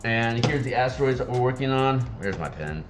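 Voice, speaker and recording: A man with a raspy morning voice, chatting casually on a live stream and rambling with many fillers and restarts. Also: mid-range voice, lively intonation, fairly quick, music in the background. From Seattle area. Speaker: streamer and game designer.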